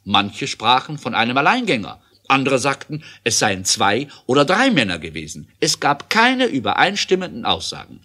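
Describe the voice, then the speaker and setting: A man's voice: medium pitch, very animated, moderate pace, hardly any pauses, sharp tone. A man narrating an adventure audio drama in an emphatic, theatrical way.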